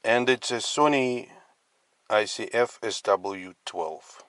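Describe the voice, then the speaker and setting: A man giving a casual product demonstration as a collector, speaking in halting, piecemeal phrases. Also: on the low side, fairly bright tone, no room sound. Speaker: radio collector presenting his collection. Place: inside a parked car.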